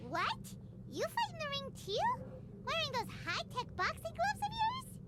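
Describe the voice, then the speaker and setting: A woman's voice: very high voice, wildly swooping pitch, unhurried, heavy background noise, exaggerated voice. A woman voicing a tiny, chirpy female sprite companion in a game, who talks about herself by name in a blunt, childlike way. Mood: surprised. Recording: game audio with loud music. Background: game music.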